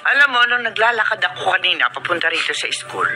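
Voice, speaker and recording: A gossipy cousin in a radio drama, chatty and animated, his high voice swinging widely. Music runs clearly under the broadcast. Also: fast, very noisy.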